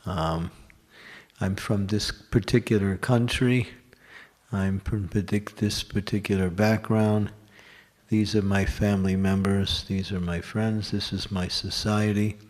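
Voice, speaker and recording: A man's voice: fairly low pitch, steady tone, unhurried, a little mellow. A man giving a scripture class at a temple, explaining step by step and posing and answering his own questions. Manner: lists examples in short runs.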